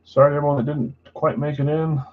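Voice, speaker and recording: A man's voice: little pitch movement, quick pace, phone-quality sound. A man hosting a live trading card break stream, casually reading out buyers and spots in a matter-of-fact voice he calls boring. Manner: self-described boring voice, low-key and matter-of-fact.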